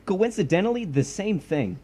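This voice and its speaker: A man's voice: high voice, a little mellow, full character voice. Showy, swooping, menacing supervillain acted by a man. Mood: mocking. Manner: sardonic, showy, threatening. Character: theatrical, distrustful, menacing supervillain, quick to violence. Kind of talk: audio drama villain acting. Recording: clear background noise and ambience.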